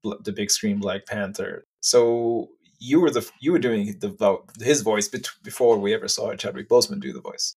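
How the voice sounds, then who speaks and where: A man's voice: lowish voice, expressive, moderate pace, vocal fry, hardly any pauses, darkish tone, husky. A man hosting a casual podcast interview, eager and nerdy, asking follow-up questions. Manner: enthusiastic, self-described nerd, asks follow-ups. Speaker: podcast host.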